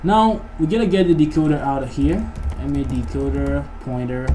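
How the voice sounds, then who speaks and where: A man's voice: on the high side, fairly even intonation, moderate pace, mellow. Man teaching coding over noisy background. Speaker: programming tutorial host. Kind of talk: casual coding tutorial, thinking aloud. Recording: noisy mic with keyboard typing. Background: background noise, keyboard typing.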